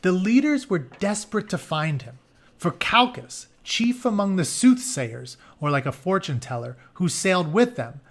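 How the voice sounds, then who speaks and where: A man with a slightly high voice reads a story aloud for young listeners. He moves between expressive narration and informal explanations, talking like a teacher in plain words. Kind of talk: expressive read-aloud with casual explanatory asides.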